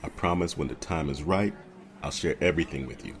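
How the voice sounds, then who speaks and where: An actor playing a well-spoken, reassuring man who keeps things back from his date, measured and earnest, in an audio drama. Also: rumbling low. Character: smooth, evasive, reassuring romantic suitor with a secret.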